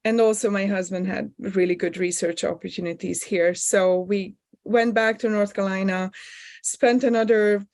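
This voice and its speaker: A woman from Hungary speaks with a clear Hungarian accent, guesting on a podcast. She talks at a medium pitch, stopping often with ums and small restarts as she works through her answers. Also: fairly even intonation, moderate pace, darkish tone, no echo, clean studio sound. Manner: thoughtful, many ums and restarts. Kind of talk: podcast interview.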